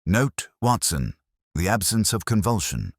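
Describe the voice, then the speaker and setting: A man voicing a brilliant, commanding male detective who reasons in formal, deliberate, incisive phrases in an audiobook mystery. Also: on the low side, sing-song, husky, no echo, voice pushed up, full character voice. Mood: measured, authoritative.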